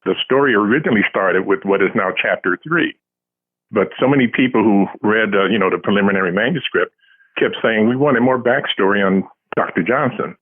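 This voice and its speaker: Husky man on a phone-like line. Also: fairly low pitch, brisk. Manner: self-deprecating, dryly humorous. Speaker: author being interviewed. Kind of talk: casual anecdotal interview talk.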